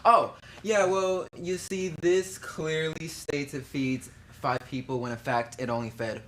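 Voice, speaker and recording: A woman plays a sheepish shopper who hesitates over every word. Her voice sits very low for a woman. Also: steady tone, unhurried, a little hiss or hum. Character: sheepish female shopper returning food.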